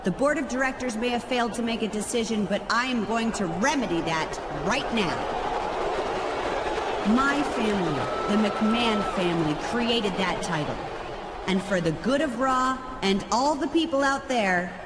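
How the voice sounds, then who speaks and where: An actress playing a haughty, commanding company executive taking charge. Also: female voice, on the high side, lively intonation, normal speed, heavy background noise, natural voice.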